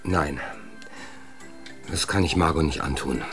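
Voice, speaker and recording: A man's voice: a bass voice, measured pace, music in the background. A man playing a wary, reluctant ordinary family man in a radio play, speaking hesitantly. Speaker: actor in a radio drama. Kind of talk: German radio play acting.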